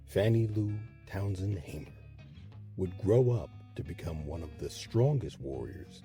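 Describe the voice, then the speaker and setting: A man narrating scripted history as a documentary host, with measured, emphatic storytelling. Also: deep voice, animated, mellow, faint music behind.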